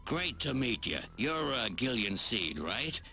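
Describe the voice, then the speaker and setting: A man's voice: medium pitch, very animated, a little slow, creaky, bright, forceful tone, faint music behind, exaggerated voice. A man voicing a chatty, eccentric gadget inventor in a video game, with a folksy, rambling way of talking. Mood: friendly, proud.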